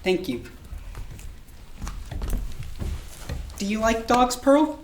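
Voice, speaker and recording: A woman playing a calm, patient child psychiatrist, measured and reassuring, in a staged drama reading. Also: on the high side, a little hiss or hum. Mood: polite, firm. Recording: distant, echoey room recording.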